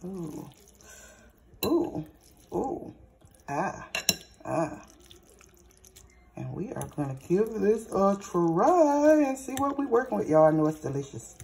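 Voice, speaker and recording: A hoarse woman chatting through a cooking vlog, addressing viewers as y'all and savoring bites with lots of hums. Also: mid-range voice, very animated, slow, long pauses, warm tone, slight background noise. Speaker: cooking vlogger. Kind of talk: casual cooking vlog with tasting. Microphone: phone or camera mic, recording alone in a kitchen.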